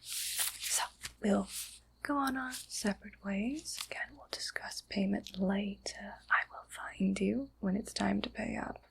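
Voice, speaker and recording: A woman playing a shady, secretive back-alley doctor in an ASMR roleplay, speaking in a halting, conspiratorial, breathy whisper with some creak. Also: medium pitch, steady tone, heavily acted, poor audio. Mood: conspiratorial, faintly menacing. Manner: slow, hushed, halting, conspiratorial.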